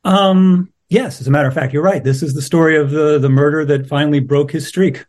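Higher-pitched man, conversational. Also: steady tone, fairly quick, a little mellow. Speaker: playwright guest. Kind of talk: conversational interview answer.

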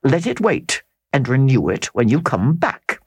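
A reader voicing a suave, scheming middle-aged count who speaks slowly, smoothly and condescendingly. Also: medium pitch, sing-song, no room sound, full character voice. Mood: contemptuous.

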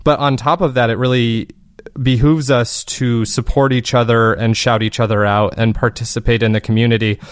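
A man with a medium-pitched voice talks as a guest in an interview. He explains things in an earnest, reflective way, conversational and unscripted. The sound is dry, with very little room in it. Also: level tone, normal speed, no room sound. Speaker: guest, fiction podcast creator.

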